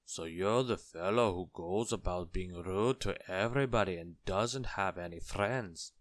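A man acts a regal storybook goblin king. His very low voice is measured and admonishing, recorded dry and close. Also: no echo. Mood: stern, measured. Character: stern, unhurried goblin king on his throne. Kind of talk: children's storybook character voice.

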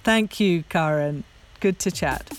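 A woman's voice: fairly low pitch, slow, dry recording. Emphatic middle-aged woman analyst. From Zambia. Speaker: podcast co-host, Africa risk analyst. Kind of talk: opinionated political commentary.

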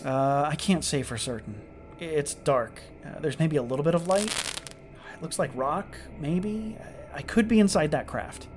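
A man's voice: a little high, normal speed, over music, lightly acted. A man playing a sardonic, irritable starship lieutenant stranded after a disaster, muttering in exasperation in a science fiction audio drama. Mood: uneasy, annoyed. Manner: exasperated, muttering, hesitant asides. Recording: clearly noisy track with clicks.

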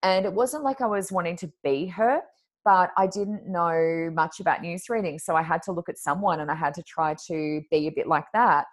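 A woman with a naturally deep voice, talking in a relaxed, unhurried way. Her pitch sits very low, and her speech is loose and conversational, with little pauses and fillers as she thinks aloud. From Australia. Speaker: podcast co-host and card reader. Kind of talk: casual conversational chat.

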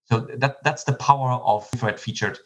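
A man from Germany giving measured, explanatory answers in a podcast interview, often restarting his phrases. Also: medium pitch, steady tone, poor audio.

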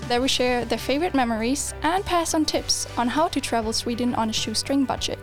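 Bright high-voiced young woman hosting. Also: a little fast, soft background music. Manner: upbeat, laughs often. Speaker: podcast host. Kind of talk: friendly podcast interview.

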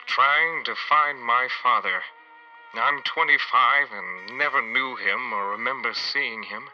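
A man playing an earnest love detective in a 1940s-style radio serial monologue, measured and deadpan. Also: mid-range voice, close to an everyday voice. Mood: earnest, plaintive. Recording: dull lo-fi radio sound, music behind.

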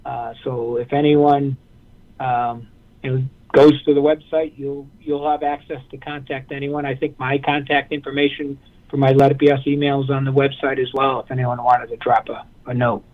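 A man's voice: mid-range voice, level tone, normal speed. A man from Massachusetts, a guest on a radio interview, telling a story earnestly with frequent restarts. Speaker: guest, nonprofit representative. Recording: tinny phone line.

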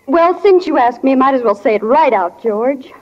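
A woman's voice: high voice, animated, normal speed, a little hiss or hum. An actress playing an earnest, prim schoolgirl in 1940s radio drama, speaking in a forthright way. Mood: hurt, earnest. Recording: dull, lo-fi 1940 radio recording.

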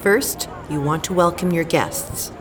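A woman plays a nurturing mother in an audio drama, her voice on the low side. She coaxes and instructs in a natural, everyday way. Clear ambient noise sits behind her. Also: a little slow, lightly acted. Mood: tender, instructive. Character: caring, gently prodding mother of a capricious girl.

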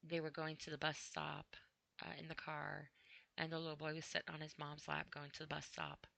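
A tired woman podcasting alone, rambling in a halting, apologetic way with many fillers after crying earlier. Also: deep voice, completely flat delivery, vocal fry, sharp tone, no echo. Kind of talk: casual rambling monologue. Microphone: computer mic with headphones on.

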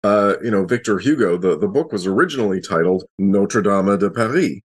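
A man with a deep, husky voice talks casually as a podcast panelist. He explains himself in a chatty, conversational way. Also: animated, brisk.

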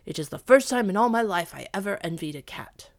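A woman reading aloud voices a gruff, loyal male dog who talks loudly and excitably. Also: medium pitch, a little fast, no echo, clean studio sound, lightly acted. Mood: growling. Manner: growling, loud and excitable.

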